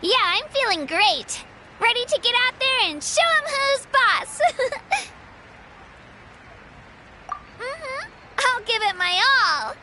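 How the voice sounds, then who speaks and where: A woman's voice: very high-pitched, sing-song, takes it slowly, pauses often, noisy background, heavily acted. A woman voicing a plucky, modest young girl fighter in a video game, girlish and earnest. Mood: eager. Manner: earnest, sweet, eager. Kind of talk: video game character dialogue. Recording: music playing behind.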